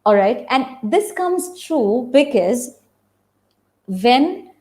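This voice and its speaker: A woman's voice: fairly high pitch, sing-song, very slow, darkish tone. A woman theatre practitioner explaining ideas on a webinar, stressing her points by repeating key phrases.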